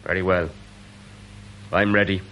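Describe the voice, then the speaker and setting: A man's voice: deep voice, fairly even intonation, measured pace. A proud Irish rebel prisoner in an old radio drama. He is defiant and fatalistic, with theatrical, rhetorical phrasing, on a dull lo-fi recording.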